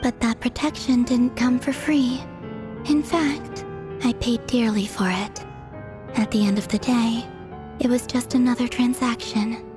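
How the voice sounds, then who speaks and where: An actress playing a serene, weary, centuries-old moon goddess in a video game, speaking softly in a composed, formal way. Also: female voice, a little high, close to an everyday voice.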